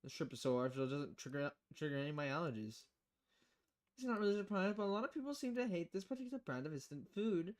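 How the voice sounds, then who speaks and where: A woman's voice: low-pitched, expressive, quick pace, a little mellow. A woman streaming a visual novel, reading the dialogue aloud with casual, playful commentary and switching voices between lines.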